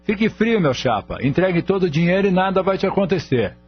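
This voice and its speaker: An actor playing a brusque, threatening armed robber in a radio drama. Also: male voice, high-pitched, big pitch swings, fast talker. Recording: dull radio-like audio, music behind.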